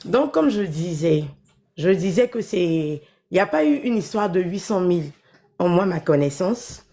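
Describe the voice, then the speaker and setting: A woman calls in by phone while she has the flu, so her voice comes through weak and faint. She speaks slowly and haltingly, with many pauses and fillers, in a gravelly, dark tone. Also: lowish voice, animated. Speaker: caller.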